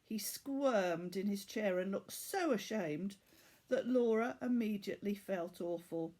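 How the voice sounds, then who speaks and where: A woman narrating a children's story aloud like a teacher, measured and expressive. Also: mid-range voice.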